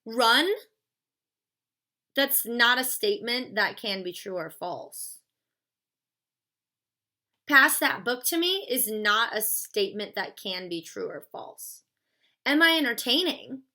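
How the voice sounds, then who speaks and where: Bright-voiced woman teaching philosophy. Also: fairly high pitch, expressive, slow pace, pauses often. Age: 30s. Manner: chatty, uses fillers like "like" and "stuff". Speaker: philosophy lecturer. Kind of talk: casual classroom explanation.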